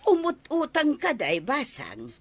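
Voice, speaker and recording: A woman's voice: high-pitched, huge pitch swings, unhurried, dry recording, exaggerated voice. A woman with an Ilocano Filipino accent playing a nagging, skeptical village wife and mother in a radio comedy drama. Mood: doubtful.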